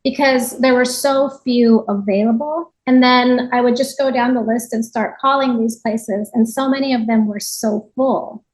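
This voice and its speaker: A woman from Japan with a fairly high voice speaks as a podcast guest. She talks reflectively about her own life in a conversational way, often adding small asides as she goes. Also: steady tone, moderate pace, hardly any pauses, poor audio. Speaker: podcast guest, yoga teacher. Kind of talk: reflective personal interview account.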